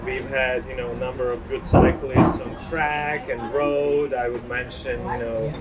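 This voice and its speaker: A man's voice: medium pitch, level tone, unhurried, over a noisy crowd. A man in his forties from Eastern Slovakia, a guest talking casually with many "you know" fillers. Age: 40s. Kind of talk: casual podcast conversation.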